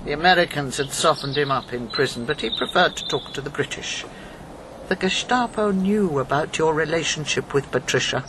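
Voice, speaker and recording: A woman's voice: sits low, normal speed, background noise, close to an everyday voice. An actress in a radio drama playing a shrewd, unsentimental older woman, once a British intelligence officer, blunt and assured. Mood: cool, accusing.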